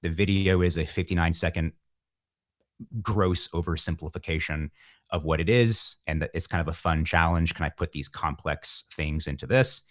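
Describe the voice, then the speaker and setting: Man, low-pitched voice, informal, self-deprecating, musician chatting as an interview guest. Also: moderate pace, a bit bright. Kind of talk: casual interview chat.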